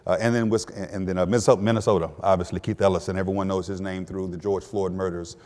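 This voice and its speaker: Halting man in a reverberant hall. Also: sits low, level tone, a little mellow. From Texas, US. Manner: halting, repeats words, many fillers. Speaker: panel guest, attorney general. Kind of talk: panel discussion.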